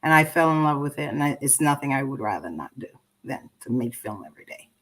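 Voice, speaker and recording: A woman's voice: rumbling low, lively intonation, fast talker. A woman from Trinidad, answering interview questions in an earnest, reflective, conversational way. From Trinidad and Tobago. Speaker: interview guest, poet and filmmaker.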